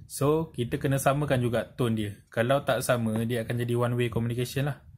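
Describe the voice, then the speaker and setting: A man's voice: medium pitch, steady tone, darkish tone. Malaysian man explaining, noisy amateur recording. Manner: halting, step-by-step explaining with frequent check-in tags. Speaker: presenter of a tutorial. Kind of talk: informal hands-on tutorial.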